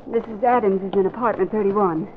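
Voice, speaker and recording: An actress playing a vivacious young press photographer in an old-time radio drama, playful, coaxing and theatrical. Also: female voice, mid-range voice, fairly quick, natural voice. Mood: curious. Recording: tinny, hissy vintage radio recording.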